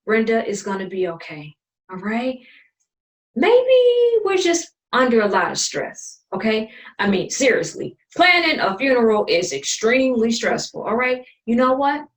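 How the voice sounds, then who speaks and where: An actress playing an exasperated, practical adult sister in a stage drama, sassy and emphatic, recorded in a room away from the mic. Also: female voice, a little high, very animated, unhurried. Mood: placating. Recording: roomy, distant from the mic.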